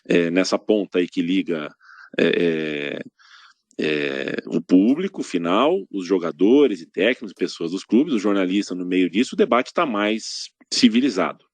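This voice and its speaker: A man with a very deep voice hosts a podcast. He builds long, meandering questions and keeps checking in with tag questions. The sound is close and dry, with no room echo. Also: animated, measured pace. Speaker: podcast host. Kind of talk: podcast interview.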